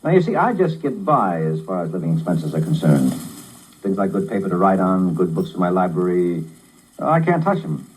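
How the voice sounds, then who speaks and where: An actor playing a wry, tolerant struggling writer, narrating and talking in a dry conversational way in a 1950s radio drama. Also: male voice, low-pitched, big pitch swings, normal speed, a little mellow, slightly rough, heavily acted, low-quality recording. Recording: old 1950s radio broadcast.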